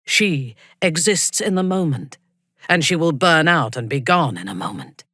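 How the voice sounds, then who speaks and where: Husky, imperious dark elf noblewoman. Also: low voice, lively intonation, dry recording, studio quality, exaggerated voice. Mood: proud, reflective. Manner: commanding, deliberate, formal and haughty. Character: imperious, ruthless dark elf noblewoman warrior, coldly devoted. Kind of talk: dramatic fantasy video game acting.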